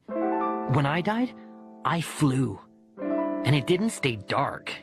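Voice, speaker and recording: A man voicing a bereaved young boy who narrates his diary letters in an expressive, conversational audiobook reading. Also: medium pitch, drawn-out words, music in the background, heavily acted. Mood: animated, incredulous.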